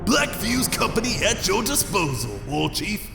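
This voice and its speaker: A man's voice: sits high, wildly swooping pitch, measured pace, creaky, exaggerated voice. A man playing a brash, eager goblin mercenary engineer in a fantasy audio drama, talking in slangy but deferential terms. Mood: dutiful, pleased. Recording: echoey hall, music behind.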